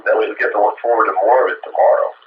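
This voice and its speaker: Low-voiced American man through heavy radio noise. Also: fairly even intonation, quick pace. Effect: CB radio transmission. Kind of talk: casual radio chat. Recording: lo-fi, very noisy two-way radio reception.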